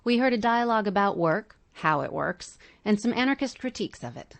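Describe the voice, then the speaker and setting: A woman's voice: mid-range voice, animated, fairly quick, creaky. A woman reading scripted narration as a podcast host.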